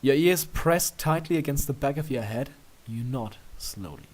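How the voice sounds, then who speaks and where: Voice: medium pitch, lively intonation, moderate pace, darkish tone. A narrator reading a scripted story aloud.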